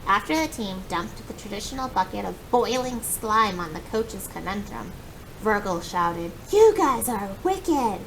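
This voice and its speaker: Expressive woman storyteller over noisy background. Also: moderate pace. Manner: expressive, acts out dialogue. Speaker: read-aloud storyteller. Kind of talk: animated children's book narration. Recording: clear background noise with low rumble.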